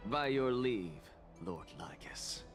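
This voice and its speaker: A man's voice: medium pitch, animated, takes it slowly, over music. A man playing an arrogant, provocative scholar in a video game, speaking in a theatrical, mocking, self-assured way. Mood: grandly challenging. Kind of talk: dramatic video game voice acting.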